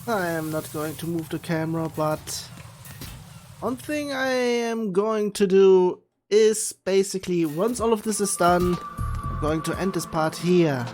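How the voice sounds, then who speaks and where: A man's voice: high-pitched, darkish tone. A man giving casual gameplay commentary as a game streamer.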